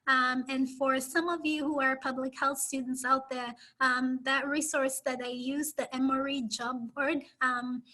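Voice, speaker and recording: A woman with a Kenyan accent speaks as a guest professor on a video call. She tells her story at a measured pace with frequent "um"s. Her voice is high and bright. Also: level tone. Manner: warm, measured storytelling with many "um"s. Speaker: public health professor, guest. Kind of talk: interview answers on a video call.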